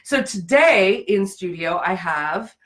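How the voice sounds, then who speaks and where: A woman's voice: mid-range voice, lively intonation, slow, fairly bright tone. A woman speaking as the host of a live business interview show. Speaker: business show host, brand and profit strategist.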